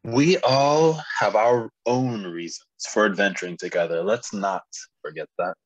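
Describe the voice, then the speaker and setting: A man playing a wary, calculating wizard with stern, formal phrasing in an animated fantasy table read. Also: on the low side, unhurried, no echo, muffled recording. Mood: guarded.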